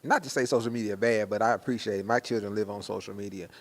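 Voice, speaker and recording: A man's voice: on the low side, fairly even intonation, fast. An American man hosting promotional interviews in a slangy hype-man style, leaning on catchphrases. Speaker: interviewer and host.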